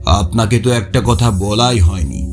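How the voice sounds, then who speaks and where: A man's voice: lowish voice, measured pace, natural voice. Male actor as troubled poor signalman, humble, confiding, horror audio drama, prominent music underneath. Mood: secretive. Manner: deferential, confiding. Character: poor, anxious, humble railway signalman haunted by omens. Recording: music behind the voice.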